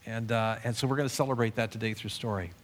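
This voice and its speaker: A man's voice: lowish voice, fairly even intonation, fast talker, in a large hall. A man leading a church service, speaking earnestly as he introduces a speaker and often restarting his words. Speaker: pastor. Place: church hall.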